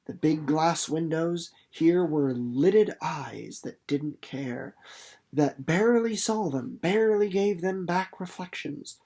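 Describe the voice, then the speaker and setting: Expressive storybook narrator. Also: medium pitch. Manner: dramatic storytelling, slowing and softening for suspense. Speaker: audiobook narrator reading a novel chapter. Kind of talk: scripted literary narration.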